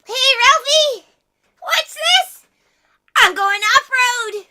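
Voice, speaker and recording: Tiny cheeky racer girl, super high and bright, cartoon style, excitable. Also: drawn-out words, exaggerated voice. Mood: thrilled, cocky. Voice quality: childlike, squeaky. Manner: excitable, playful. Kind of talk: live animated-film table read.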